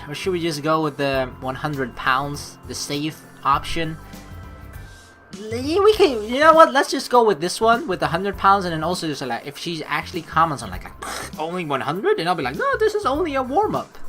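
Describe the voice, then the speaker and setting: Female let's player, slightly low and very animated, playful and teasing, reads story text and comments over soft game music. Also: normal speed. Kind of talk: gaming commentary mixed with reading visual novel text aloud.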